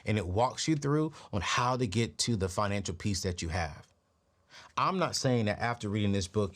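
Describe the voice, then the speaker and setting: A man in his late thirties hosting a personal finance show, giving a motivational talk with a preachy, energetic delivery. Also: medium pitch. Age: 30s. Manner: preachy, energetic, repeats phrases. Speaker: podcast host.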